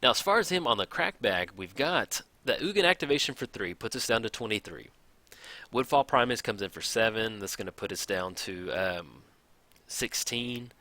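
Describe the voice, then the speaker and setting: A man casually commenting on a card game match, thinking aloud, his voice a little raspy from hours of recording. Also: lowish voice, expressive, moderate pace, dry recording. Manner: chatty, thinks aloud, counts out loud. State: slightly raspy from long recording. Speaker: gameplay commentator.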